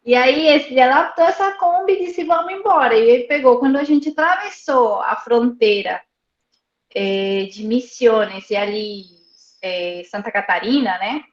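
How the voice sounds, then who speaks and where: A woman who grew up in Argentina, telling stories as an interview guest in a lively way, laughing often and repeating words. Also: a little high, unhurried, reverberant, lo-fi sound. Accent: slight Argentine Spanish. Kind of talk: casual storytelling interview.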